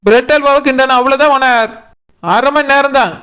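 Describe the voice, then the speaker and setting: A woman acts out an overworked young cartoon cook with a high, bright voice. She whines and pleads at a rapid pace, with a colloquial Kanyakumari Tamil accent. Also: clear, resonant tone. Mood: explaining, defensive. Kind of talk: comic cartoon dialogue.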